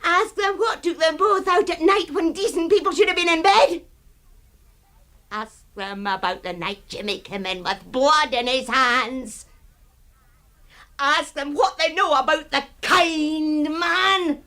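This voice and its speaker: A woman's voice: high-pitched, slow pace, bright, forceful tone, full character voice. An actress playing a bitter, defiant young woman in a radio drama, with an emotional, dramatic delivery. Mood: taunting, accusing.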